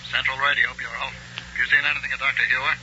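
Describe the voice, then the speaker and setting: An urgent dispatcher in a vintage radio drama. The voice is very sharp and rapid, clipped and official. It sounds band-limited, with faint noise. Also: male voice, medium pitch, flat delivery. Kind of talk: 1930s radio serial acting. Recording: muffled, noisy 1930s radio recording.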